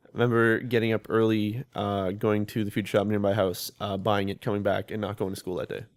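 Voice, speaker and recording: A man's voice: fairly low pitch, flat delivery, brisk. A man, co-hosting a casual gaming podcast and rambling through stories with restarts and swearing. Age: 20s. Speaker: podcast co-host.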